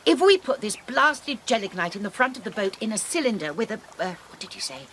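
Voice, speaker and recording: An actress playing a prim, determined missionary spinster who speaks in a proper, insistent manner. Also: female voice, mid-range voice, big pitch swings, fairly quick, a bit bright, slight background noise. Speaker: actor. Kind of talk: radio drama acting.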